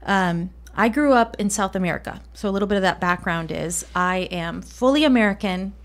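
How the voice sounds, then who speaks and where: A woman who grew up in Colombia speaks as a guest on a podcast. She thinks aloud as she talks and pauses often. Her voice sits at an ordinary middle pitch. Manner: reflective, with frequent fillers and restarts. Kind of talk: casual interview.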